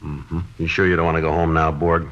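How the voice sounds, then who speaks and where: An actor in a 1950s radio drama playing a terse, patient, level-headed American police sergeant with a laconic, deadpan delivery. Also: male voice, rumbling low, fairly quick. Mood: matter-of-fact. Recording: dull, band-limited old radio recording.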